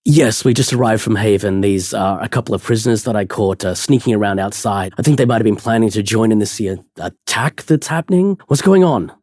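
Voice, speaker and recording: Husky man playing a tabletop game. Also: fairly low pitch, fairly quick, hardly any pauses, a little mellow, no room sound, studio quality. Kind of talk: casual improvised roleplay narration.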